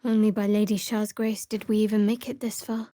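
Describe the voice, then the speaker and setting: A woman voicing a guarded, dry-witted young female cleric in a fantasy video game, measured and wry. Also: medium pitch, little pitch movement, dark tone, no room sound, lightly acted. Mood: guarded, solemn.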